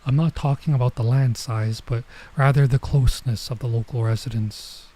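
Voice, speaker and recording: A man streaming a visual novel, reading it aloud with casual commentary and thinking out loud as he goes. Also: medium pitch, fairly even intonation, normal speed. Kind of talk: let's play read-aloud with casual commentary.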